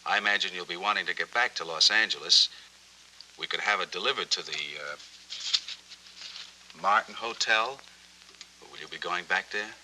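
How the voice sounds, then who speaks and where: A low male voice with a hard bright edge as an obliging, businesslike clerk. It sounds like a 1940s film with faint soundtrack noise. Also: moderate pace, pauses often, poor audio. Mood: helpful.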